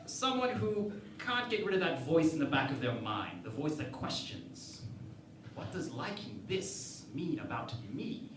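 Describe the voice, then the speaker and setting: An actor playing a pompous, lecherous starship captain in a parody radio play, with a theatrical, grandiose delivery. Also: male voice, mid-range voice, recorded from a distance. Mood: suggestive, gleeful. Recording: echoey room, off-mic, background noise.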